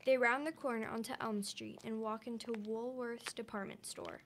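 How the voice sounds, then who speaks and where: A woman's voice: on the high side, steady tone, normal speed. A young woman reading scripted narration for an amateur radio drama. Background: music with guitar under the voice.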